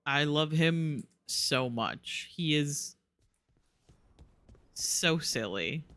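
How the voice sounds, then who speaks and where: A man's voice: a little high, expressive, drawn-out words, sharp tone, no echo. A man giving casual live gameplay commentary as a streamer, affectionate and gushing, close on the microphone. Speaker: video game streamer.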